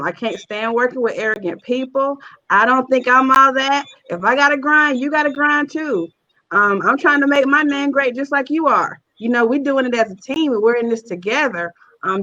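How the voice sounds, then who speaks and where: Woman panelist, bright forceful voice, blunt delivery, dull recording. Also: a little high. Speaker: stage play writer-director. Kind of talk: panel chat.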